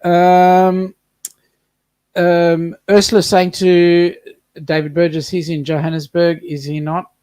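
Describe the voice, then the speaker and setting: A chatty man with a fairly high voice, co-hosting a relaxed livestream. He works through viewer comments one after another in a dry, close-miked recording. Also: slow pace, clear, resonant tone, no echo. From South Africa. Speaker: co-host reading live chat. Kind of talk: casual livestream chat.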